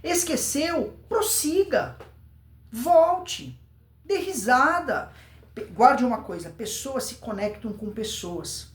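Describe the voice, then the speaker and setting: A man's voice: extremely high pitch, animated, slow. A Brazilian man giving an informal public speaking lesson, emphatic, repeating words and answering his own questions. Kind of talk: informal instructional video to camera. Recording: roomy, echoing voice recording.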